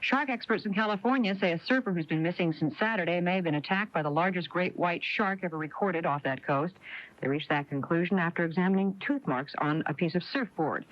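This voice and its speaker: A woman's voice: lowish voice, no echo. An American woman reading scripted news as a radio reporter. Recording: dull, lo-fi AM radio broadcast.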